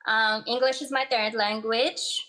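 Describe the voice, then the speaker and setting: Moroccan-accented woman guest, Arabic native, giggly and light, slightly high voice. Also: fairly even intonation, a little slow, sharp tone. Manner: giggly, playful. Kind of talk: casual interview chat.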